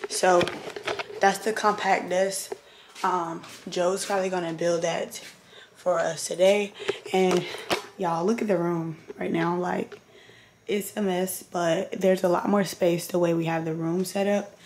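A woman in her twenties with a sick, hoarse, partly lost voice, chatting casually to vlog viewers. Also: on the low side, flat delivery, unhurried, a little mellow. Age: 20s. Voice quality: hoarse, breaking into whisper. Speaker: vlogger. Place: indoors, mostly a bedroom at home. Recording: clearly noisy camera audio.

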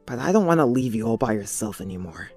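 A man's voice: on the low side, big pitch swings, fast talker, a little mellow, slightly rough, faint music behind, exaggerated voice. A young man playing an earnest, devoted teenage boy in a cartoon comic dub. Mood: tender, reassuring. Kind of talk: fan comic dub voice acting.

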